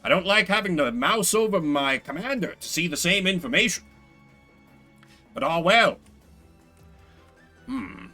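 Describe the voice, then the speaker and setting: A man's voice: high-pitched, animated, unhurried, long pauses, pushed and bright, soft background music. A man streaming a strategy game, musing aloud as he talks himself through his decisions. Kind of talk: live strategy game commentary, thinking aloud.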